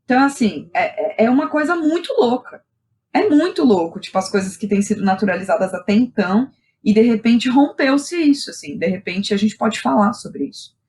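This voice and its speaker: A woman's voice: fairly high pitch, animated, fairly quick, darkish tone, a little hiss or hum. A Brazilian woman, a podcast guest, telling personal stories in a candid, informal way with plenty of slang and tag questions. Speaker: guest, playwright and director. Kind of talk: casual personal storytelling in a podcast interview.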